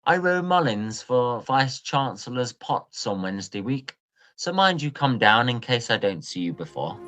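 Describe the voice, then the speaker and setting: A man's voice: mid-range voice, big pitch swings, moderate pace, a bit bright. A man playing a chatty young Victorian Oxford student in an audio drama, jaunty and full of old-fashioned slang. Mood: breezy, advising.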